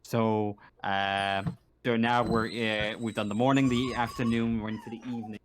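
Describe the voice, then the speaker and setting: A man acting as game master, narrating a tabletop game casually and haltingly, repeating words as he improvises. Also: fairly low pitch, level tone.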